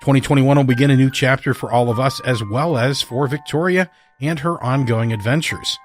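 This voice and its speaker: A man's voice: medium pitch, fairly quick. A man hosting a fiction podcast, reading a scripted show introduction. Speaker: podcast host and writer. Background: music.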